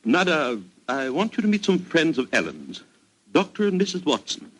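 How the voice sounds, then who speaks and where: An actor playing an older English army colonel, proud and haunted, with an emphatic, theatrical, excitable delivery in a radio drama. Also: male voice, a little high, normal speed, breathy edge, heavily acted, low-quality recording. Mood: courteous, warm. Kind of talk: old-time radio drama acting.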